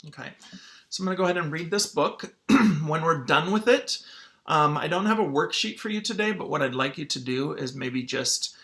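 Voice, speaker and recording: A man's voice: a little high, moderate pace, fairly bright tone. A man speaking as a teacher to his students, in an informal read-aloud lesson style.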